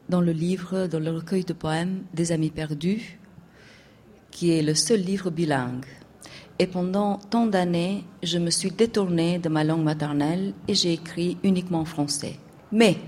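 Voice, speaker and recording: Woman, Albanian native speaker, lowish voice, halting, many fillers, faint background noise. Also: a little mellow. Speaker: writer and university academic. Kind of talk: panel discussion answer.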